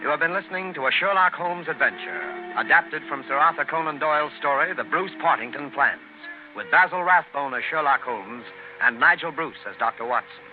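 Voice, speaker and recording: A man's voice: on the high side, lively intonation, a little fast, soft background music. A man with an American accent announcing in scripted 1930s radio style, hosting and reading sponsor messages. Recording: tinny, noisy 1930s radio broadcast.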